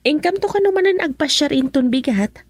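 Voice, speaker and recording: A woman's voice: high-pitched, lively intonation, a little fast, dry recording. A Filipino woman playing a sweet, agreeable young daughter and girlfriend in a radio drama.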